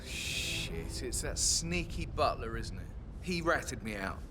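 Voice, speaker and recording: A spoiled, bored young Englishman, animated. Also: medium pitch, slow, noisy background. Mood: irritated, dismissive. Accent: British. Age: young adult. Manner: sweary, casual, dismissive. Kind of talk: video game dialogue. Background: background music.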